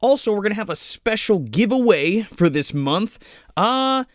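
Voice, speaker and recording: A man's voice: high voice, big pitch swings, moderate pace, no room sound, clean studio sound. A man co-hosting a podcast, chatting casually and swearing freely. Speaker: podcast co-host.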